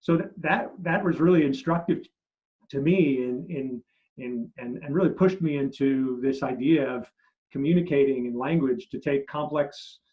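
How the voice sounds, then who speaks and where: A middle-aged man whose first language is German, answering interview questions as a marketing expert and telling stories with many restarts, trailing low at the end of phrases. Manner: animated storyteller, many restarts, drops low at phrase ends. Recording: muffled lo-fi audio.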